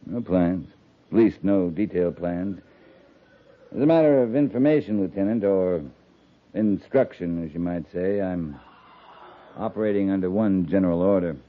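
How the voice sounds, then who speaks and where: An American man playing a seasoned, calmly stern cavalry captain in a 1950s radio drama, speaking in a measured, clipped, authoritative way. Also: low-pitched, lightly acted. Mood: sober, measured. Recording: muffled, noisy 1950s radio recording.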